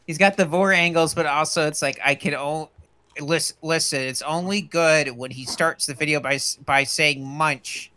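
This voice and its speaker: Bright, teasing man. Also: on the high side, fairly even intonation, normal speed. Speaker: podcast co-host. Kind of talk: casual comedic banter.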